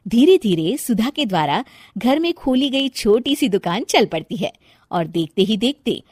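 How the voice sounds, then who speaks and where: A woman's voice: on the high side, sing-song, measured pace, darkish tone, slightly rough, no room sound, studio quality. A woman narrating a scripted story as its storyteller.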